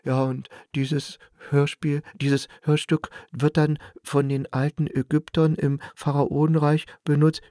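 A man acts out a pompous male orator from a comic radio play, speechifying in a pitched-up voice. The sound is close and dry. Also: flat delivery, measured pace, few pauses, dark tone, dry recording, close to an everyday voice. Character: droning flute-playing funeral orator, pompous male lecturer.

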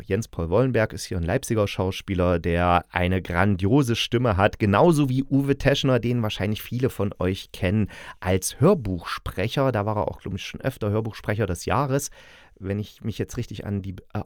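Low-voiced man chatting casually on a podcast. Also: a little mellow, no room sound, studio quality. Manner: rambling, chatty, digressive, chuckles now and then. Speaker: podcast host and radio play producer. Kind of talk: casual solo podcast talk.

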